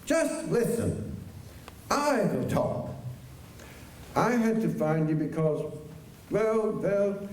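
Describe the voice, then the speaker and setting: A man playing an anxious, earnest farmer in a radio drama, halting and pleading, with old-fashioned immigrant phrasing. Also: high voice, big pitch swings, darkish tone, heavily acted. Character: anxious, earnest farmer courting his former housekeeper. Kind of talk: radio drama acting before a live audience. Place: large school auditorium stage. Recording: echoey auditorium, distant mic, faint noise.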